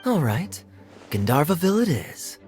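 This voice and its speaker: Young male knight, lively intonation, smooth, wry, nonchalant, video game acting. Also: fairly high pitch, normal speed, faint music behind. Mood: composed, suave.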